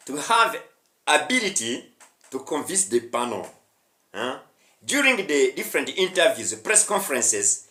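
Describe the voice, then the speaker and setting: A young man with a Rwandan accent leads an English lesson, pushing each point with emphasis and a lively, swooping delivery. His tone is bright and forward, and the sound bounces around an echoey room. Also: a little high. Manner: emphatic, checks in with "huh?" and "hmm?". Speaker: English teacher.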